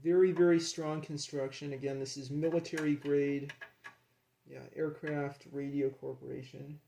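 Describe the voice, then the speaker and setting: A man casually narrating as he works with his hands, in a creaky voice, absorbed and admiring, dropping murmured asides. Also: a little high, flat delivery, normal speed, mellow, slight background noise. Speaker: hobbyist demonstrating a radio rebuild.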